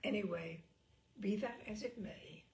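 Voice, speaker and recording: A woman reading a comic story aloud in an expressive, droll, theatrical narrating style. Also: mid-range voice, a little slow, a little hiss or hum. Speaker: story reader.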